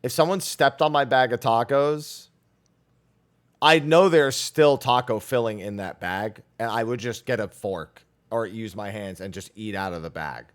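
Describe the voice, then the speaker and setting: A man's voice: medium pitch, measured pace. A man giving casual playthrough commentary as a game streamer, with brash, joking banter.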